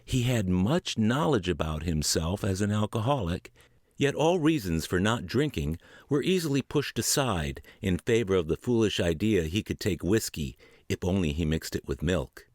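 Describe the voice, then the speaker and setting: Male audiobook reader, lowish voice, lively earnest phrasing, dry close mic. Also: normal speed, no room sound. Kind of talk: word-for-word book reading.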